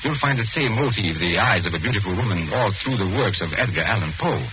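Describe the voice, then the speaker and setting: An actor playing a suave, theatrical stage magician with wry, morbid humour in 1940s radio mystery style. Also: male voice, on the low side, animated, brisk, lightly acted. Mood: grave, measured. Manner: urbane, theatrical, quoting grandly. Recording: muffled, noisy 1940s radio recording.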